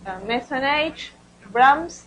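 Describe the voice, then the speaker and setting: High-voiced woman giving a halting research talk. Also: expressive, a little hiss or hum, low-quality recording. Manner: hesitant, halting delivery with repeated words. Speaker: researcher presenting study results. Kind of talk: conference research presentation.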